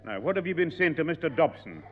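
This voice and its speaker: An actor playing a kindly older grandfather, gently scolding the boy. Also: on the high side, sing-song, quick pace, slight background noise. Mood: gentle. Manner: gently scolding, sing-song. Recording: tinny, echoey old radio broadcast.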